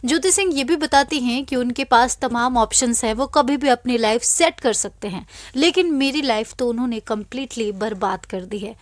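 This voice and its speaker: A woman's voice: fairly high pitch, moderate pace, hardly any pauses, dry recording. A woman reading scripted celebrity news as an anchor, in an emphatic, dramatic storytelling way.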